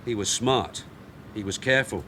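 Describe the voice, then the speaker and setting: An actor playing a shrewd, blunt middle-aged police detective sergeant in a radio drama. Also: male voice, on the low side, moderate pace, pushed and bright, slight background noise. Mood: brisk, sardonic. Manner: blunt, dry, probing.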